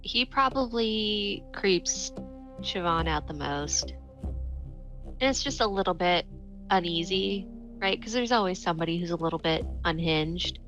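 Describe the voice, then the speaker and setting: A woman's voice: lowish voice, a little slow, a bit bright, soft background music. A woman playing a tabletop game, casually discussing her character.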